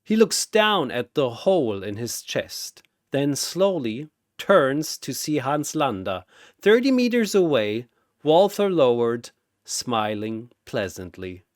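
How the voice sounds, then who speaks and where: A man's voice: mid-range voice, very animated, slow pace, dry recording, studio quality. A man delivering dramatic scripted battle narration in a solemn, theatrical manner.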